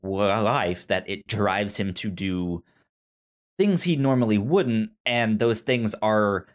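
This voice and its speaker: A man co-hosting a casual film discussion, thoughtful and halting, often stuttering and repeating syllables. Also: fairly low pitch. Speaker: podcast co-host.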